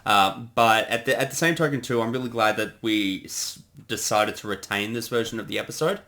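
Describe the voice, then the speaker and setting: A man co-hosting a creator commentary in a relaxed, conversational, affable way. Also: fairly low pitch, brisk. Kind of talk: casual commentary chat.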